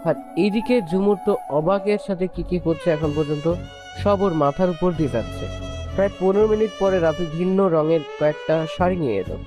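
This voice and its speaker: A man's voice: sits high, a little fast, music in the background. A man narrating a scripted romantic story as its storyteller.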